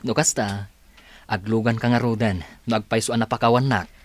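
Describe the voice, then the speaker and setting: A man's voice: fairly low pitch, steady tone, normal speed, lightly acted. An actor with an Ilocano accent playing an earnest young man courting a woman, in a radio drama. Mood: pleading. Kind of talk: radio drama acting and closing narration.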